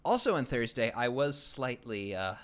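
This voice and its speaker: A man hosting his own livestream, chatting casually while he plays, rambling and halting with many fillers and restarts and a dry, self-deprecating humor. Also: mid-range voice, big pitch swings. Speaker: livestream host. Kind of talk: casual gaming stream chat.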